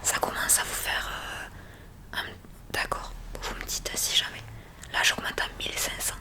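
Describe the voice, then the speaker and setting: A woman whispers breathily as an ASMR examiner, gently and attentively explaining a hearing test step by step to the listener. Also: moderate pace. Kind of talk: whispered ASMR medical roleplay, addressing the listener.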